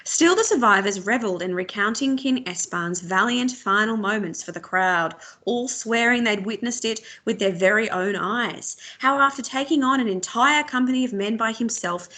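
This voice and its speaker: A woman narrating a novel aloud for students in a measured, expressive storytelling manner. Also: a little high. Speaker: teacher reading aloud to students.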